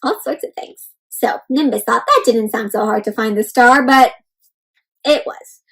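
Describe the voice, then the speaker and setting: A woman doing an interactive children's read-aloud, expressive and full of exclamations, often putting questions to young listeners. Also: on the high side, measured pace. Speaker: host reading a picture book aloud to children.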